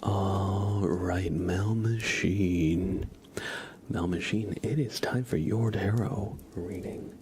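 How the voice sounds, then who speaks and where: A man's voice: low voice, fairly even intonation, slow pace, a little mellow, slight background noise. A man hosting a live ASMR stream, chatting to viewers with playful, murmured role-play patter. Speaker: livestream host.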